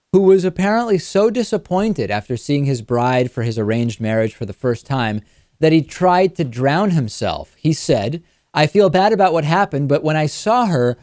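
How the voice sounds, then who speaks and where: A man's voice: mid-range voice, darkish tone, no echo, studio quality. A man hosting a talk show, giving conversational political commentary.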